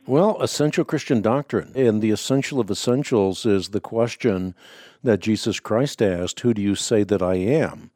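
A man hosting a radio call-in show, native Dutch speaker, teaching in a measured, emphatic, preacherly way. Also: fairly low pitch, mellow, dry recording. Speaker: radio host answering callers.

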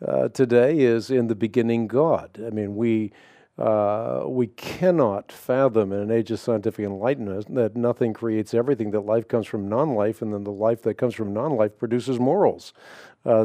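A man's voice: on the low side, steady tone, normal speed, dark tone, dry recording, studio quality. A man born in the Netherlands whose first language is Dutch, hosting a call-in radio show and talking earnestly with many fillers.

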